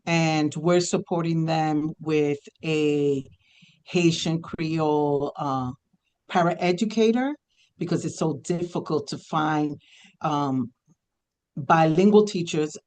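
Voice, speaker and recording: A man's voice: on the high side, takes it slowly, darkish tone. A man answering questions at a school committee meeting, hesitant and thinking aloud with many fillers. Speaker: district multilingual program staff.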